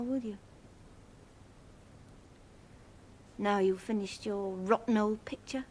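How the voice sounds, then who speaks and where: An actress playing a cheeky, hot-tempered young maidservant with a working-class English accent in a period drama. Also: female voice, fairly high pitch, fairly bright tone. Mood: hurt, reproachful. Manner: saucy, blunt, colloquial. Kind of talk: period television drama acting.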